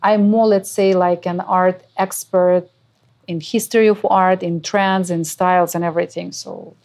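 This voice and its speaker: Russian-accented woman, lowish voice. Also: flat delivery, unhurried, darkish tone. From Ukraine or Russia. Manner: non-native phrasing, careful explanations. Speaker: art consultant guest. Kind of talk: interview answers.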